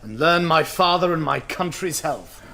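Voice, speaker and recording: An actor playing a lovesick, captive young Portuguese prince with florid, courtly speech in a verse radio drama. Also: male voice, a little high, lively intonation, normal speed, creaky, bright, forceful tone, heavily acted.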